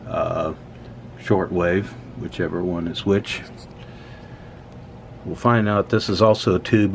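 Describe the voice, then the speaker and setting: A man's voice: low-pitched, a little mellow, slight background noise. A man casually talking through a hands-on teardown as host, matter-of-fact and tentative as he thinks aloud. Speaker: host of an electronics teardown video. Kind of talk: casual unscripted hands-on explanation.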